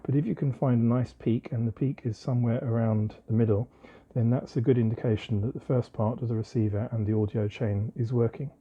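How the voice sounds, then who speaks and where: A man with a husky, slightly rough voice at an ordinary male pitch walks through a technical demonstration. He speaks informally but methodically, in measured phrases with short pauses. The recording is a little dull and lo-fi. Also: steady tone, mellow. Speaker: presenter of an electronics tutorial. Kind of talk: informal hands-on technical demonstration, explaining step by step.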